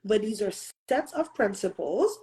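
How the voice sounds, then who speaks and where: A woman's voice: mid-range voice, very animated, measured pace, mellow. A woman in her thirties hosting a motivational talk, speaking earnestly and pressing listeners with rhetorical questions, sometimes slipping into French and Haitian Creole. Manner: earnest, emphatic, asks the audience rhetorical questions. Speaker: host.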